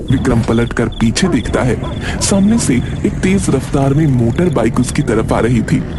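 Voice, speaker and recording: A man's voice: mid-range voice, background noise. Male narrator of a cartoon tale. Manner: steady, dramatic storytelling. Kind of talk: dramatic storybook narration. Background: background music.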